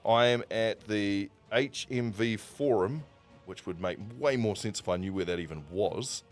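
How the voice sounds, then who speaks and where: Low male voice, New Zealand accent, casual livestream storyteller, animated delivery, dramatic pauses, music playing behind. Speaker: livestream host. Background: background music.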